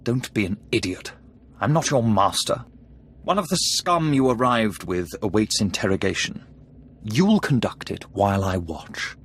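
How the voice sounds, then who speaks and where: An actor playing a cold, contemptuous Sith overseer who gives curt orders in a science fiction game. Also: male voice, fairly low pitch, lively intonation, measured pace, fairly bright tone, slight background noise. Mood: scornful, commanding. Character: stern, contemptuous Sith overseer instructing an acolyte in torture.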